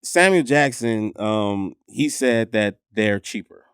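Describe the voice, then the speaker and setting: A man's voice: fairly low pitch, expressive, slow pace, a bit bright, smooth, no echo, clean studio sound. A man co-hosting a podcast, talking in a laid-back way, thinking aloud and swearing casually. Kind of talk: casual chat.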